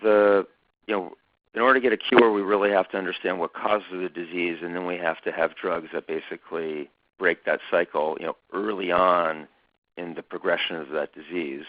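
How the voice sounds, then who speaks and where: Man hosting a talk, measured and hesitant, lots of fillers, lo-fi dry sound. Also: low-pitched, fairly even intonation, no echo. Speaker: foundation chairman and host. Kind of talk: conversational webinar talk. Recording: dull lo-fi dry recording.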